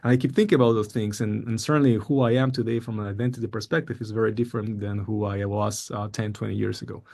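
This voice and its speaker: A middle-aged man from Peru giving an academic presentation on his research project, halting often with fillers and repeated words. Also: on the low side, fairly even intonation, gravelly, a little mellow.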